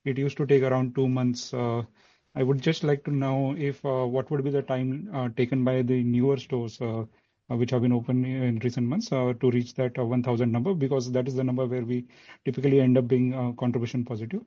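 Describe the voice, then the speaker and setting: A man with an Indian accent puts questions as an analyst on an earnings call. His phrasing is halting and his tone dark and mellow. The line is dry and lo-fi. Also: medium pitch, flat delivery, no room sound. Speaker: financial analyst. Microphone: conference call line. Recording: dull lo-fi phone line.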